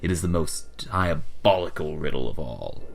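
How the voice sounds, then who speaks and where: A man's voice: rumbling low, animated, moderate pace, creaky, a little hiss or hum. A man giving a dramatic, mock-serious scripted narration as the narrator of a comic adventure. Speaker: narrator of a fan comic dub.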